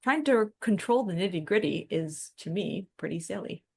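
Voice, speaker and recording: A woman's voice: fairly low pitch, animated, normal speed, creaky, slightly rough. A woman co-hosting a discussion podcast, talking through ideas in a reflective, wordy and self-deprecating way. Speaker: podcast co-host.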